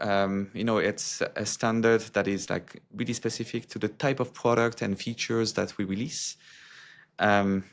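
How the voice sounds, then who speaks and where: A man who works as a security executive, answering interview questions in a halting, filler-heavy way. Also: fairly low pitch.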